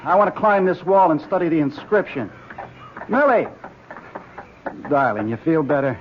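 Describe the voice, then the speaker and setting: An actor playing a busy, commanding archaeologist husband in an old-time radio drama. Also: male voice, fairly high pitch, lively intonation, normal speed, close to an everyday voice. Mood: brisk, concerned. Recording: noisy, muffled old radio recording.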